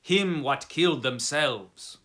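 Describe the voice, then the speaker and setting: A man's voice: on the high side, expressive, takes it slowly, bright, forceful tone, full character voice. A man doing a terse, frightened coachman. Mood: fearful terse. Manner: terse, emphatic, broken English. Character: frightened superstitious male German coachman.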